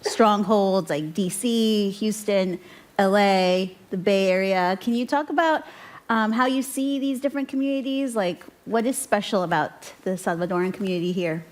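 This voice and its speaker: A woman moderates a live panel, asking friendly, curious questions. Her voice sits at a middle pitch for a woman. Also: normal speed.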